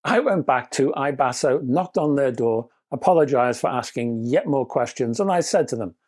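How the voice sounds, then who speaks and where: A man's voice: medium pitch, animated, moderate pace, darkish tone. A British man narrating a scripted product review with chatty, wry asides and emphatic phrasing.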